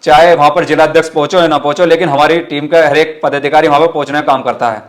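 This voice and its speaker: A man's voice: a little high, steady tone, quick pace. A man with a western Uttar Pradesh accent answering interview questions as a party activist, earnest and emphatic, often repeating his phrases. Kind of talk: interview answers with political rhetoric.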